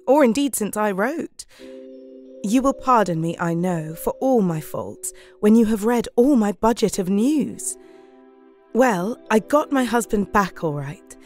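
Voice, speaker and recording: A woman's voice: medium pitch, a little mellow. A woman acting an affectionate young Victorian wife, reading out her letter chattily in an audio drama. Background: music.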